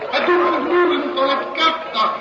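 An actor playing a pompous small-town orator giving a florid ceremonial speech in a radio play. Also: male voice, extremely high pitch, moderate pace, over loud music, a loud crowd. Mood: grandiose. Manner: pompous, declamatory. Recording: muffled far-off outdoor speech, long reverb, hiss.

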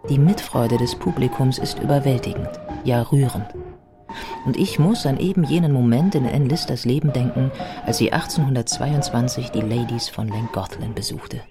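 Low-voiced literary biographer over music. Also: female voice, normal speed. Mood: personal, wry. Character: thoughtful modern female biographer narrating her research. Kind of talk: scripted literary narration in a radio play.

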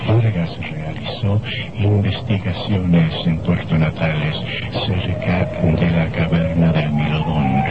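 A sinister horror storyteller speaks very slowly in a deep voice. He is theatrical, ominous and gloating, in old radio drama style, over loud music. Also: lo-fi sound. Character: sinister male narrator of horror tales, gloating and macabre. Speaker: narrator and horror show host. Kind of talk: Spanish-language radio horror theatre narration.

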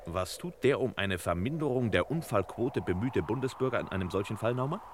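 An actor playing a self-assured, know-it-all master detective in a crime radio play parody, with pompous, clipped delivery. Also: male voice, lowish voice, lively intonation, a little fast. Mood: brisk, superior. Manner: pompous, clipped, precise deductions. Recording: noisy old radio drama recording.

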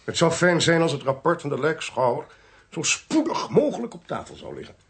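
An actor playing a smug, sly, domineering government investigator in a Dutch radio play, pompous and precise. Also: male voice, a little high, big pitch swings, brisk, creaky, husky, exaggerated voice. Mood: smug, insistent.

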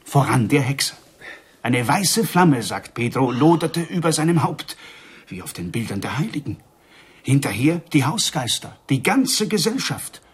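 Husky, animated village storyteller. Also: male voice, mid-range voice, unhurried, full character voice. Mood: awed, excited. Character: excitable village storyteller passing on wondrous rumours. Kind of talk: German radio drama acting.

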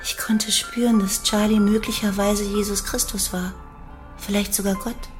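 An actress playing a devoted young follower of a hippie guru, recounting earnestly in a radio drama monologue. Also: female voice, mid-range voice, level tone, moderate pace, soft background music, natural voice. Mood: awed.

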